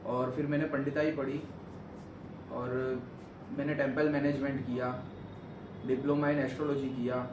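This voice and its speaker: A man's voice: medium pitch, little pitch movement, normal speed, pauses often. A young man from Gujarat, India, answering questions about himself on his vlog in a rambling, earnest way. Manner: rambling, earnest, self-correcting. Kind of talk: casual interview chat. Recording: echoey room, far from mic, noisy.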